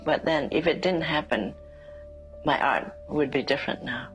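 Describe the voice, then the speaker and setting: A woman from Vietnam speaking in an interview, slow and halting, with repeated words. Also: low voice, low-quality recording. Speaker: painter. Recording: soft music underneath.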